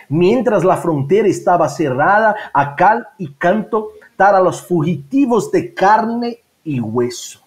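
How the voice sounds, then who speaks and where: Slow, measured man reading aloud. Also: high voice, a little mellow. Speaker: podcast co-host.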